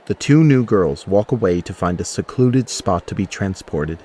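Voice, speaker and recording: A man's voice: low-pitched, lively intonation, moderate pace, mellow. A man reading scripted narration for an audio drama.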